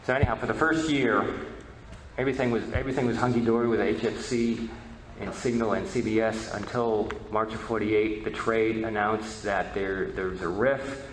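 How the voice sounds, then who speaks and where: A man giving an informal history presentation, halting and often repeating words. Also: on the low side, fairly even intonation. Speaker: presenter and media researcher. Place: reverberant presentation hall. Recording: echoey hall, faint background noise.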